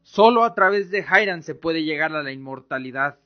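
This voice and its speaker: A man narrating a scripted fantasy radio drama in a solemn, measured way. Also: on the high side, animated. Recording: dull lo-fi recording with music. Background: music bed.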